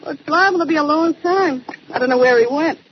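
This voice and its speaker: An actress playing a chatty, slangy, wisecracking secretary in an old-time radio detective drama. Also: female voice, high-pitched, quick pace, natural voice. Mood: chatty, brisk. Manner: slangy, sassy, talkative. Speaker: actor. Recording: muffled, noisy old radio recording.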